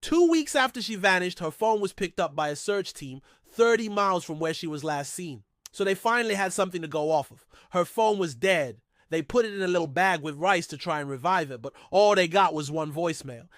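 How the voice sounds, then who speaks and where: A man's voice: high voice, lively intonation, brisk, hardly any pauses, a bit bright, no echo, studio quality. A man hosting a mystery storytelling show in a conversational, informal and musing way, often posing rhetorical questions. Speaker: host of a mystery storytelling channel.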